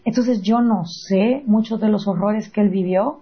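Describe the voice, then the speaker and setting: A woman with a Guatemalan accent in conversation as an interview guest, telling personal stories in an animated, emphatic way. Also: medium pitch, unhurried, breathy edge, muffled recording. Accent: Guatemalan Spanish.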